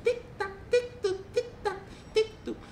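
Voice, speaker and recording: A man voicing a timid, pleading young goat in a squeaky falsetto for a children's folktale. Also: steady tone, unhurried, pushed and bright, reverberant, soft background music, heavily acted. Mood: eager, chirpy.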